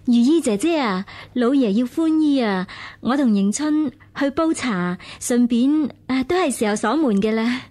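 Radio drama: deferential young female servant, dutiful, high-pitched. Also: animated, a little slow, hardly any pauses, darkish tone. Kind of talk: Cantonese radio drama acting.

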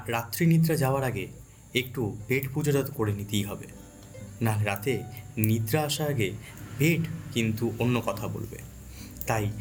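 A man from West Bengal, India, narrating a travel vlog in a fluent, lightly humorous storytelling manner. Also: medium pitch. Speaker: travel vlogger.

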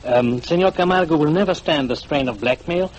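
A man's voice: on the high side, fairly quick, close to an everyday voice. Guy acting slimy polite schemer after money, savors every word, old radio drama w/ some hiss. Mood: oily, pleased. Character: oily, scheming male go-between out for money. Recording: noisy old 1940s radio recording.

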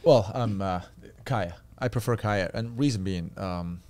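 A man in his thirties from Turkey with a Turkish accent, a podcast guest telling his story in relaxed, chatty fashion at a studio boom mic. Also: measured pace. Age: 30s. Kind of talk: casual interview chat. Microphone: studio boom mic with headphones.

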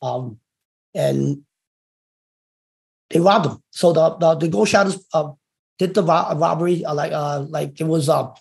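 A middle-aged man speaks over a webcam with a slight Hong Kong accent, voice pitched a little high and rough with fry. He tells his story haltingly, stopping often on fillers and restarts, and waves his hands as he talks. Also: a little mellow. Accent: Hong Kong-influenced. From United States. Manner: halting, many fillers and restarts, gestures while talking. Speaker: interview guest, former gang member. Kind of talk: casual storytelling. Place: room indoors. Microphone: video call webcam.

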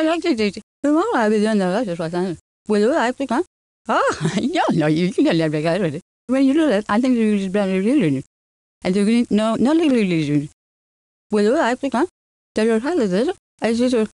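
A woman's voice: medium pitch, dark tone, no room sound, lightly acted. A woman voicing a chatty game character who babbles emphatically and repeats herself in half-sensical small talk. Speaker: voice performer for game character samples. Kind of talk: improvised mock conversation for a simulation game.

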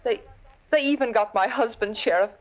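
An actress playing a fearful, pleading frontier daughter in a radio western. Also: female voice, on the high side, moderate pace, lightly acted. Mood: distressed, pleading. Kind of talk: old-time radio western acting. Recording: muffled vintage radio recording.

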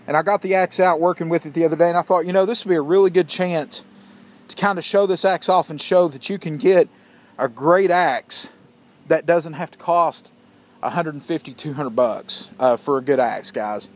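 A man's voice: fairly high pitch, normal speed. A man giving a casual outdoor gear review, plainspoken and opinionated, often calling his viewers "guys". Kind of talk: casual product review vlog.

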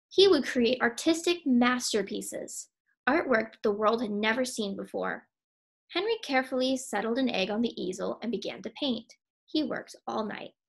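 Young female storybook reader, higher-pitched with creaky fry, emphatic delivery. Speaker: teacher reading aloud. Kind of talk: storybook read-aloud.

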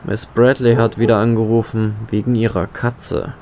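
An actor playing a hapless, obedient sheriff's deputy in a German horror radio play, deferential and hesitant. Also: male voice, lowish voice, level tone, normal speed, warm tone, a little hiss or hum, natural voice. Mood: subdued, apologetic. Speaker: actor in an audio drama.

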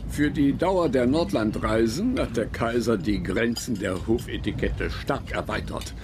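An actor playing a courtly travel companion of the emperor, reading a memoir formally and with measured delivery in a radio drama. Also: male voice, medium pitch, background noise, natural voice. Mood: reverent, formal.

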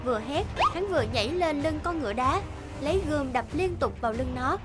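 A woman's voice: high-pitched, animated, a little fast. A woman narrator reading a scripted fairy tale as a storyteller. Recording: music behind the voice.

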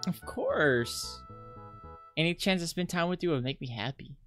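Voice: mid-range voice, lively intonation, normal speed, a bit bright, faint music behind, natural voice. Performer as a gushing, adoring schoolgirl. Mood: happy. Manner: gushing, eager. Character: cheerful, adoring, unbothered schoolgirl.

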